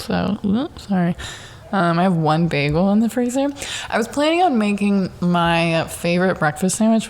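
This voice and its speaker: A young woman talking on her own at home in a slightly low voice. She rambles from thought to thought, chatty and quick to mock herself, and leans on "like" constantly. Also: a little mellow. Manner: rambling, chatty and self-mocking, heavy use of "like". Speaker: podcast host. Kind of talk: casual solo monologue. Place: at home in the dark during a power outage.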